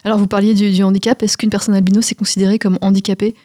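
A woman's voice: mid-range voice, flat delivery, very fast, warm tone, dry recording, clean studio sound. A woman hosting a radio interview, putting questions to her guest. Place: dry radio studio.

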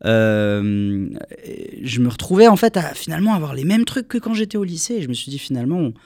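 A young man from France, a singer answering interview questions in a relaxed, rambling way with many fillers. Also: a little high, brisk, no echo, studio quality. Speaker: singer guest.

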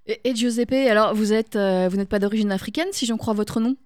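A woman hosting a radio interview, with brisk questions and quick affirming replies. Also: mid-range voice, steady tone, a little mellow, dry recording, clean studio sound. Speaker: radio host.

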